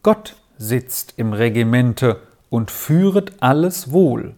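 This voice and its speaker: A narrator doing a calm, gentle old major. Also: mid-range voice, slow. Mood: soothing. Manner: calm, soothing, resigned. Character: gentle ailing father, a calm army major.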